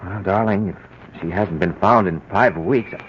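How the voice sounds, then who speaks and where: An actor playing a nervous husband hiding a crime, stammering and flustered in a 1940s radio drama. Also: male voice, sits low, measured pace, a little hiss or hum. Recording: muffled old radio broadcast.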